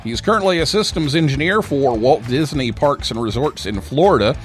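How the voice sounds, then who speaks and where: A man's voice: medium pitch, lively intonation, brisk, soft background music. A man hosting a live ham radio call-in talk show, speaking as the presenter.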